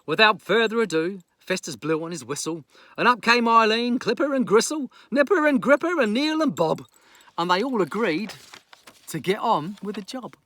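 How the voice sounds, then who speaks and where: A man's voice: high voice, expressive, moderate pace, pushed and bright, no room sound. A man from New Zealand telling a rhyming children's story in a theatrical, playful pirate persona. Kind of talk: rhyming children's story read aloud with casual asides.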